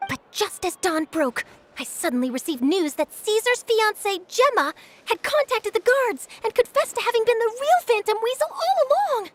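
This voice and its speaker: Very high, perky young reporter. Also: female voice, background noise. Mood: pleading, eager. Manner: theatrical, inquisitive, gushing. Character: eager, perky young female newspaper reporter. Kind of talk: video game voice acting.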